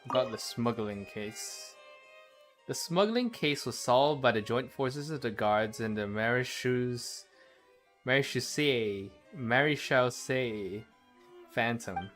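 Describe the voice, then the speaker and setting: Male game streamer, mid-pitched voice. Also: fairly bright tone. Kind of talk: live stream, reading game text aloud.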